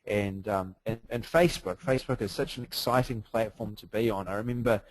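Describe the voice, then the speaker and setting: A man's voice: fairly low pitch, a little fast, no echo, rough recording. Upbeat New Zealand man, chatty presenter. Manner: upbeat, chatty, heavy on fillers. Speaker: webinar presenter. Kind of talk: live webinar presentation. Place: hotel room late at night.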